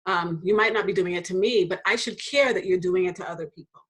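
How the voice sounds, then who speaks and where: Lively woman hosting a faith chat. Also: fast talker. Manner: lively, jumps into high voices when quoting people, laughs often. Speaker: host. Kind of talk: casual faith conversation.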